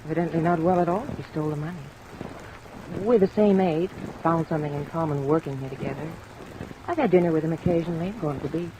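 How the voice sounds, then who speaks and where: A woman's voice: low-pitched, quick pace, lightly acted. An actress playing a polite, earnest, helpful young office woman in an old-time radio drama. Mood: troubled, earnest. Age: 20s. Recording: noisy old radio recording, rain overlay.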